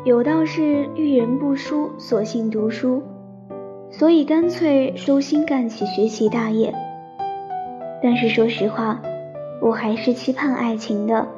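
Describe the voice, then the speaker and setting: Shy young heroine of a romance audio drama, played by a woman: high-ish, soft, sentimental, piano underscore. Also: a little slow, lightly acted. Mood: wistful, tender. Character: shy romantic young woman narrating her love story. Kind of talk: Chinese radio drama, sentimental first-person narration. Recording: slightly dull sound under piano music.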